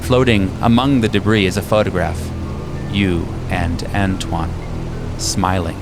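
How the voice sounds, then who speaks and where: A man with a fairly low voice narrates tabletop horror in the second person. His delivery is deliberate and ominous, like scripted storytelling, with music clearly playing underneath. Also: steady tone. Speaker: game master.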